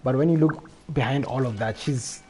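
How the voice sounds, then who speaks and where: A man's voice: mid-range voice, moderate pace, music in the background, low-quality recording. A man talking casually as a live game streamer, rambling and often repeating his phrases. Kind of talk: casual gaming livestream chat.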